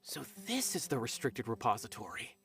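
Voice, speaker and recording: An earnest young scholar from a video game, his mid-pitched voice moving with lively intonation. He explains things patiently and carefully. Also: moderate pace. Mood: explaining.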